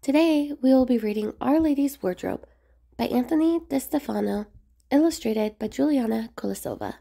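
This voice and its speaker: A woman reading a rhyming children's picture book aloud in a measured, expressive sing-song way. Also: sits high, gravelly. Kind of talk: storybook read-aloud narration of rhyming verse.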